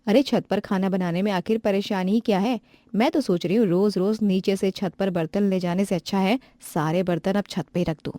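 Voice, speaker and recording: A woman's voice: mid-range voice, steady tone, a little fast, mellow, dry recording, clean studio sound, close to an everyday voice. A woman voicing a stubborn young village daughter-in-law who defends herself insistently. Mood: defiant.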